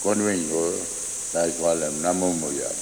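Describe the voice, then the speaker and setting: Older man, elder, halting short phrases, very low voice, slow, long reverb. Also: mellow, slight background noise. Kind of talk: slow recorded testimony.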